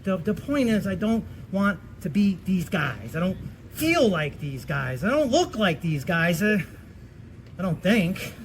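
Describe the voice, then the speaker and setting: A high-voiced, excitable husband in his fifties, acted by a man in a stage comedy. He stammers and protests defensively, fretting like someone who feels his age. The live theatre recording is clearly noisy. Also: measured pace, full character voice. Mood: agitated. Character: fifty-two-year-old husband, excitable, defensive, fretting over aging.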